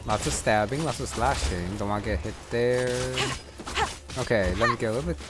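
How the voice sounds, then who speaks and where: A man's voice: medium pitch, a little fast. A man giving casual, unscripted gameplay commentary, musing aloud to himself as he plays. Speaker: gamer, streamer. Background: game music.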